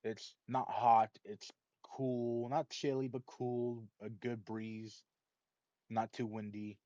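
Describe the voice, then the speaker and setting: A man's voice: mid-range voice, flat delivery, takes it slowly, darkish tone, dry recording. A man reading captions aloud and musing on them in an informal, rambling way, with the odd casual swear word. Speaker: reaction video host. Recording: dull, lo-fi mic with faint noise.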